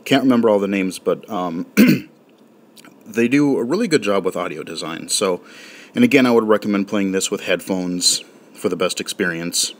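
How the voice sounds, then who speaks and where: A man's voice: sits low, animated, moderate pace. A man casually walking through a mobile game and talking with lots of fillers and restarts. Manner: rambling, filler-heavy. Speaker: game reviewer. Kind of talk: casual spoken app walkthrough.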